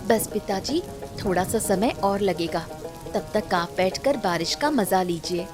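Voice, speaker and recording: A woman's voice: mid-range voice, animated, a little slow, over music, close to an everyday voice. A woman voicing cheerful, dutiful village women in a cartoon family story. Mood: affectionate. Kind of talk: Hindi cartoon story voice acting.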